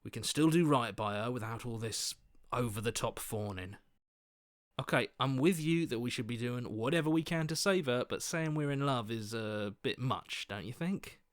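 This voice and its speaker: A man's voice: medium pitch, moderate pace, no echo, close to an everyday voice. Guy doing a level-headed young game hero, earnest but a bit sarcastic, really dry close sound. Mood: reproachful. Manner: level-headed, dryly reproachful. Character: earnest, sensible young heroic man, mildly sarcastic inner voice. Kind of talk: video game character acting.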